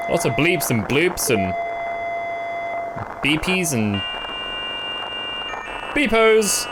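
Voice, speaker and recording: Animated, slow-paced man reacting wryly. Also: on the high side, heavy background noise. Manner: wry, jokey understatement. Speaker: reaction video host. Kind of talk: casual reaction commentary with read-aloud on-screen text.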